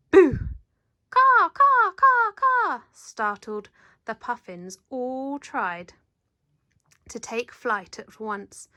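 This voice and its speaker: A woman reading a children's picture book aloud in an animated, dramatic storyteller's manner. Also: fairly high pitch, dry recording, clean studio sound. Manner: animated, dramatic, leans into sound words. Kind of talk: expressive read-aloud narration.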